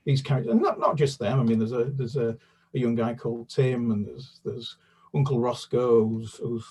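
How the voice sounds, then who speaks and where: A man's voice: mid-range voice, moderate pace, mellow, slightly rough, recorded from a distance. An older man from the UK, a children's author, chatting casually in an interview with many fillers and restarts.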